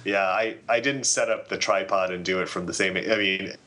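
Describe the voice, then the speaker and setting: A middle-aged man chatting casually as a podcast panelist, halting and repeating words as he explains. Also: sits low, steady tone, bright, forceful tone.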